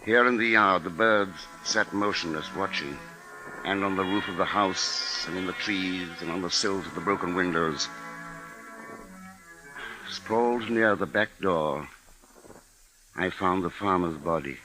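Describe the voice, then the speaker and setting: A low-voiced man as a grim husband and father in a 1950s radio play. He is deliberate and grave, with a music underscore behind his words. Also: rough recording. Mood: grave, foreboding. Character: grim, capable husband and father narrating a siege. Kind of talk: 1950s radio drama acting and first-person narration.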